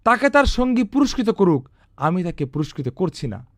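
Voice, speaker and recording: A man's voice: high voice, sing-song, moderate pace, no echo, clean studio sound. A man narrating an Islamic cartoon, explaining a religious teaching in an earnest lecturing tone with rhetorical questions.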